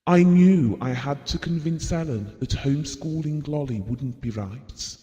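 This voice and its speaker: A man's voice: on the high side, a little slow, mellow, lightly acted. An actor playing an earnest, devoted, guilt-prone middle-aged British husband and father, reminiscing confessionally in an audio drama. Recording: reverberant hall-like room.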